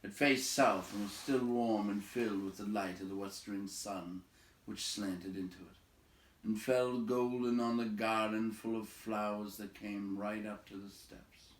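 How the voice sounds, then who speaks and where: A man's voice: fairly low pitch, fairly even intonation, unhurried. A man reading a storybook aloud as narrator. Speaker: audiobook reader. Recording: echoey room, slightly far from mic.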